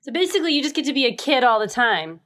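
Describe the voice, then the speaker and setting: A woman's voice: high voice, very animated, fast, fairly bright tone. A woman chatting casually as a podcast co-host. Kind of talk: casual chat.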